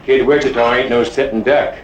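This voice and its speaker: An actor playing a wary, grumbling cattle rustler in an old western film, talking in folksy cowboy slang. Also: male voice, mid-range voice, steady tone, a little fast, close to an everyday voice. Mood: wary, grim. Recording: echoey, faintly noisy old film soundtrack.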